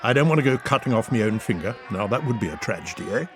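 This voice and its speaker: A man acts out a blunt Tudor axeman for a comic audiobook. He is English and colloquial, darkly jovial, handing out grim advice as if it were routine, with a very lively, swinging pitch. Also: fast, over music, natural voice. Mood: cheerfully grim. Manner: matter-of-fact, advising, colloquial. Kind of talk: comic audiobook character reading.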